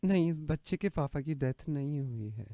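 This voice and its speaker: A soft-spoken woman in a phone conversation, hesitant and reluctant in the way she answers. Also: sits low, animated, normal speed, dry recording. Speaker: prank call recipient.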